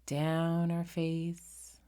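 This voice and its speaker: A woman leading a guided meditation in a gentle, unhurried manner, pausing often between short phrases. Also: lowish voice, monotone.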